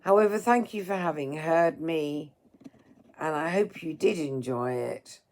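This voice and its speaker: A tired woman with a hoarse, dry throat, speaking to listeners in a halting, politely formal way as a storyteller. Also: deep voice, darkish tone. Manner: halting, apologetic, formal wording. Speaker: storyteller reading aloud and singing. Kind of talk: informal apologetic talk to listeners.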